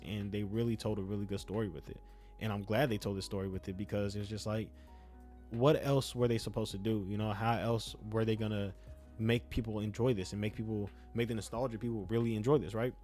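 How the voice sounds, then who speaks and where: Fast-talking young man, mellow voice. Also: mid-range voice. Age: young adult. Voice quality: self-described speech impediment. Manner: rambling, slangy, repeats phrases for emphasis. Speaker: YouTube gaming content creator. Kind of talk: casual opinionated game review talk.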